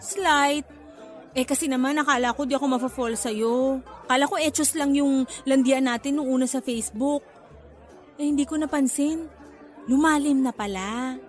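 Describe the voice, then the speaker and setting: A woman's voice: high-pitched, normal speed, soft background music, close to an everyday voice. A woman acting a cheeky, manipulative young pregnant girlfriend in a Filipino radio drama, blunt and dramatic, with a Filipino accent. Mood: mocking, breezy.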